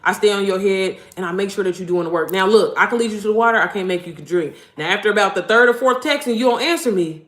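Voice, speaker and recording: Fast-talking, deep-voiced woman coaching online. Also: roomy sound. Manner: blunt, profane, slangy, addresses viewers directly. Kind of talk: casual motivational vlog talk.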